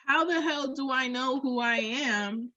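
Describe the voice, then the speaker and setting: A woman with a fairly high, husky voice talks candidly, as on a podcast. She is reflective and emphatic and swears freely, and the sound is close and dry. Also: a little slow, pushed and bright, no echo, dull audio. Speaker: podcast guest or co-host. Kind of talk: candid casual conversation.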